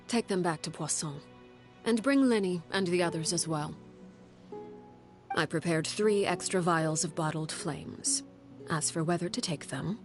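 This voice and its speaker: Stern female authority figure for a game drama: low, measured, formal, music underneath. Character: stern, composed woman who rules an orphanage as 'Father'. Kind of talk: dramatic video game voice acting.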